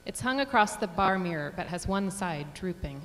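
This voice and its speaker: Low-pitched woman narrator in echoey hall. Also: lively intonation, normal speed, fairly bright tone. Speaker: stage directions reader. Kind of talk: scripted narration at staged reading. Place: performing arts theatre. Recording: echoey theatre hall, rumbling noise.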